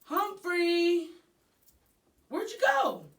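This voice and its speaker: A woman's voice: very high-pitched, very animated, drawn-out words, a bit bright, echoey room, distant mic, voice pushed up, full character voice. An exasperated dad and school principal from a children's storybook, acted out by a woman. The delivery swoops expressively between pleading and firm authority, recorded a little away from the microphone. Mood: anxious, pleading.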